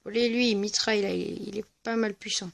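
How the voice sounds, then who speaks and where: A gaming video creator giving casual, hesitant and rambling commentary over gameplay, speaking in a medium-high voice. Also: fairly even intonation, brisk, gravelly, a bit bright, no echo. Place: at home, voice-over on mobile gameplay recording.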